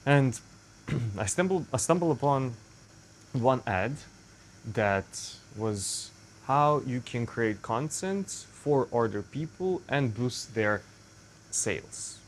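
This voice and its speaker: A man's voice: mid-range voice, lively intonation, slow pace, slight background noise. A young man from Serbia with a Serbian accent, speaking English as a second language in a rambling, self-correcting casual monologue to the camera. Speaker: vlogger.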